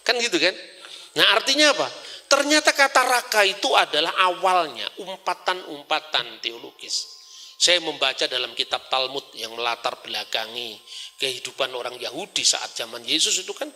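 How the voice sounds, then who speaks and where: A man with a Javanese accent giving an animated religious lecture, asking rhetorical questions and slipping into Javanese phrases. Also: fairly high pitch, moderate pace.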